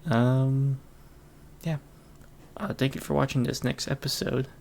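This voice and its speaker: A man's voice: medium pitch, fairly even intonation, a little slow, vocal fry, darkish tone, slight background noise. A man hosting his own podcast alone, rambling casually with lots of fillers and frequent chuckles. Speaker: podcast host. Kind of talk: casual solo podcast chat.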